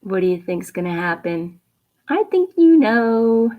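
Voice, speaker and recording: A woman reading a picture book aloud to children, pausing with teacherly questions and emphatic asides. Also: medium pitch, big pitch swings, narrow, tinny audio. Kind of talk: read-aloud bedtime story with asides and questions to young viewers.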